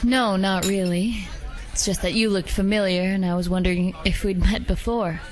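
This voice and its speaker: A woman voicing a polite, gentle female werewolf dancer who is secretly an assassin, in a hobby audio drama. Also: mid-range voice, fairly even intonation, normal speed, some chatter behind, natural voice. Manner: polite, gentle, softly coaxing.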